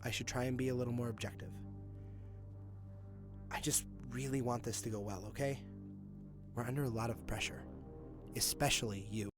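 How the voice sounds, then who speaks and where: An actor playing an authoritative, earnest police detective in an audio drama, with a probing manner. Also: male voice, medium pitch, fairly even intonation, normal speed, long pauses, over music, lightly acted. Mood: conciliatory, concerned. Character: male police detective, Hazel's superior and former partner.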